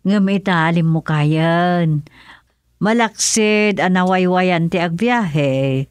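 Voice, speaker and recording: A woman's voice: fairly low pitch, slow pace, smooth, dry recording. A woman with an Ilocano accent playing a sensible, concerned older village mother in a radio drama. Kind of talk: radio drama acting, conversational.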